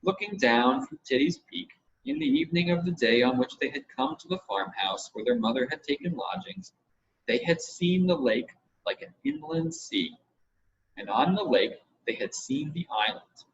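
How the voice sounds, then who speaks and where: Expressive male storyteller, lively pitch. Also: normal speed, creaky. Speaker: audiobook reader. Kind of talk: scripted storybook narration. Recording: dull audio with faint noise.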